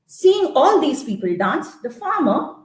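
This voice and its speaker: Female storyteller, high voice, slow theatrical delivery with repeated phrases, roomy live-stream sound. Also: sing-song. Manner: theatrical, repeats phrases for effect, checks in with listeners. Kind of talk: live interactive folktale storytelling with songs and actions.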